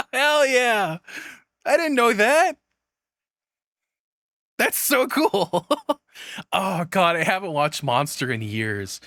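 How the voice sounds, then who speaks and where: A man's voice: high-pitched, animated, extremely slow, long pauses, pushed and bright, no room sound, clean studio sound. A man giving casual, opinionated reaction commentary as a streamer, rambling bluntly and swearing freely.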